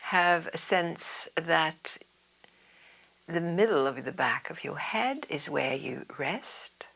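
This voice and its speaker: A woman yoga teacher leading a guided meditation in a soft, soothing, half-whispered way. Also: low-pitched, creaky.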